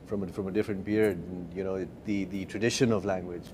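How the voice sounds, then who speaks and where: A middle-aged man, an actor in an interview, talking in a halting way with many repeated words. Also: deep voice, little pitch movement, dark tone, a little hiss or hum. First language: not Urdu. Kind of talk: casual interview answers.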